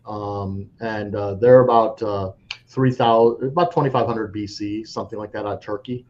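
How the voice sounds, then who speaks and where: A man's voice: on the low side, steady tone, moderate pace, darkish tone. A man speaking as a coin dealer guest in an interview, frank and rambling, often restarting his sentences. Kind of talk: casual interview talk. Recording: dull lo-fi audio with faint noise.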